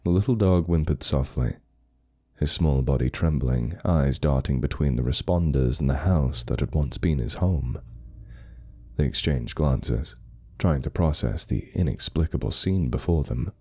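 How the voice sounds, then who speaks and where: Very deep, dark-voiced male horror narrator. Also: a little slow. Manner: grave, suspenseful storytelling. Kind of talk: scripted horror story narration.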